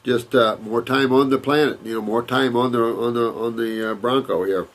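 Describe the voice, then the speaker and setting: A man's voice: mid-range voice, steady tone, moderate pace. An older man, a naturopath, talks casually and rambles as he reads out viewer letters and answers them with emphatic advice. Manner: rambling, emphatic, repeats words and restarts.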